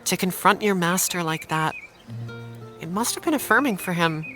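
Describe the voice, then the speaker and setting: An actress playing a perceptive, steady woman confidante who is direct and firmly encouraging, in an audio drama. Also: lowish voice, normal speed, faint music behind. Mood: probing.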